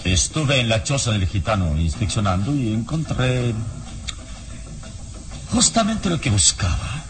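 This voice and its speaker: A man acting a calm heroic detective in an old Mexican radio serial, speaking in a measured, formal, commanding way. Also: medium pitch, background noise. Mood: confident, commanding. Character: calm, deep-voiced heroic detective adventurer.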